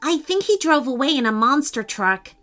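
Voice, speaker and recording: A woman voicing an excitable, insistent little boy in a children's story, speaking emphatically. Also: high-pitched, normal speed, soft background music, pitched above the performer's own voice.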